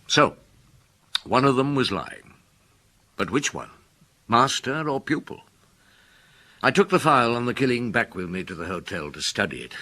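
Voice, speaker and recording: An actor playing an older detective who recalls an old case in reflective, wry radio drama narration, with a husky voice. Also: male voice, medium pitch, lively intonation, sharp tone. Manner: reflective, deliberate.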